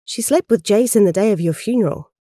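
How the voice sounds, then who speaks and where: Lovelorn young heroine woman performing, audio drama: mellow, earnest, outspoken, pleading, mid-pitched. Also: expressive, fast, dry recording, studio quality, close to an everyday voice. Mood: jealous, accusing. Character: Kat, lovelorn, outspoken young female hero. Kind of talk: amateur audio drama acting.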